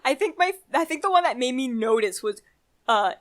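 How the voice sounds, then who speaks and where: A young woman co-hosting a casual chat, retelling things in an animated way with lots of "like" and quoted reactions. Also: high-pitched, fairly quick. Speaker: podcast co-host.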